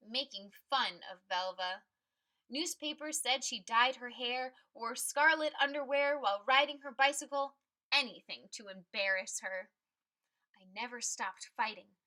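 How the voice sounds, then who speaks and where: A woman reads a picture book aloud in a fairly high, bright voice. Her delivery is expressive and forceful. She phrases dramatically and puts clear emphasis on key words. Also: rough recording. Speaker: read-aloud storyteller.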